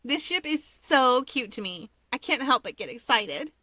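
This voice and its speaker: A woman voicing an excitable, gossipy teenage girl in a story reading, gushing and emphatic. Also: high voice, moderate pace, no echo, voice pushed up, full character voice. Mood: gushing, excited. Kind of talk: acted dialogue in story reading.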